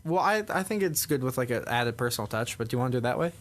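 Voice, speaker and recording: A young man co-hosting a casual podcast, telling stories in a quick, animated way. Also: mid-range voice, slight background noise. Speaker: podcast co-host. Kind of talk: casual chat.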